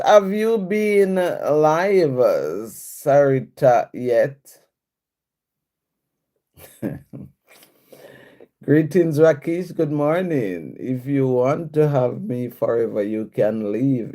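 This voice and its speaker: Woman streamer, chatty, answers comments, unhurried delivery with lots of swing in pitch. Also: lively intonation, dark tone. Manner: chatty, answers comments aloud, laughs often. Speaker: livestream host reading viewer comments. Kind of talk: casual live-stream chat.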